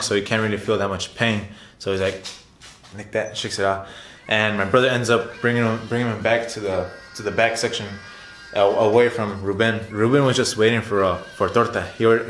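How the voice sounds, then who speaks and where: A young man telling a casual story to viewers as a vlogger, in an animated, chatty way. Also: fairly low pitch. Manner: animated retelling, chuckles often, addresses viewers as guys. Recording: roomy echo, music underneath.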